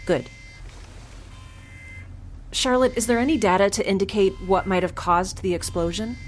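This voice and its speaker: A woman's voice: medium pitch, normal speed, slight background noise, lightly acted. A woman playing a plucky, impatient young scientist in a space audio drama, talking in a casual, chatty way. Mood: anxious, brisk. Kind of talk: scripted audio drama acting.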